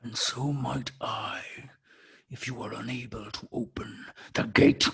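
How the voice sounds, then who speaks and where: Slow, rasping, menacing alien captain. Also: male voice, medium pitch, expressive, full character voice. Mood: cold menace. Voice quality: raspy, gravelly. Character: menacing fanged male alien captain, coldly threatening.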